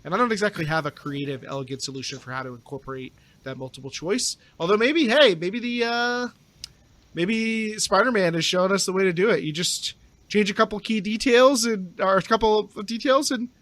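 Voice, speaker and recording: A man's voice: sits high, a little fast, fairly bright tone, dry recording. A man talking casually as a podcast panelist, explaining his opinions and acting out hypotheticals.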